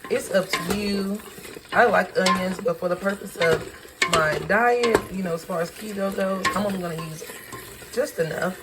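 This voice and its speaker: A hoarse woman who has lost her voice, casually and tiredly talking through a recipe in her home kitchen. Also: moderate pace, heavy background noise. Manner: tired, low-key, chatty with fillers. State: hoarse, lost voice from sinus infection. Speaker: home cook vlogger. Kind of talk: casual cooking demonstration. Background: sizzling and frying in a pan.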